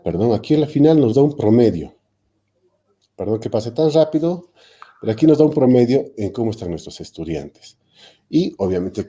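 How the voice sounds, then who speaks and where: A man speaks as a lecturer on a video call, his voice sitting somewhat low and sounding very dark and mellow. He explains at his own pace but stumbles often, filling gaps with "eh" and correcting himself mid-sentence. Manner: hesitant, frequent "eh" fillers and self-corrections. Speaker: technical institute lecturer. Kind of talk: panel talk explaining online teaching.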